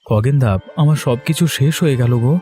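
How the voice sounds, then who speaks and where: A man's voice: mid-range voice, brisk, mellow, lightly acted. A man voicing an emotional, jobless village son-in-law in a cartoon story. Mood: despairing. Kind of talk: Bengali children's cartoon story dialogue. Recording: music playing under the voice.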